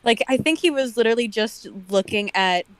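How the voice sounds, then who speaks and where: A woman's voice: fairly high pitch, lively intonation, a little fast, clear, resonant tone, no room sound. A woman giving casual, wry and critical commentary as a guest on an online call.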